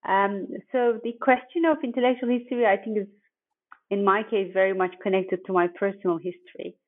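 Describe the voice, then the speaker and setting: A woman's voice: mid-range voice, steady tone. Woman on a tinny line. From Turkey. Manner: hesitant, many pauses and restarts. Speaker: guest author. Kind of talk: podcast interview answers.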